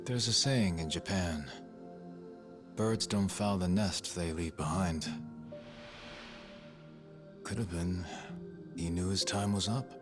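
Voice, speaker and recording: An actor playing a gruff yakuza ally in a video game drama, measured and solemn in manner. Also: male voice, deep voice. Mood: solemn, consoling. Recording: music behind the voice.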